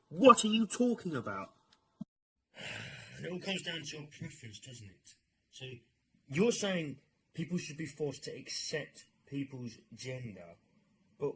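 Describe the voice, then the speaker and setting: A British man delivers a mocking commentary in a somewhat high, rough-edged voice. His manner is sarcastic and exasperated, with informal phrasing throughout the rant. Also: low-quality recording. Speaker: online commentator.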